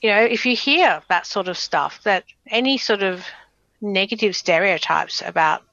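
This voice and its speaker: A woman's voice: medium pitch, normal speed, pushed and bright, no room sound, lo-fi sound. A woman speaking earnestly as an advocacy guest on a radio interview. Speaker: guest, advocacy group president.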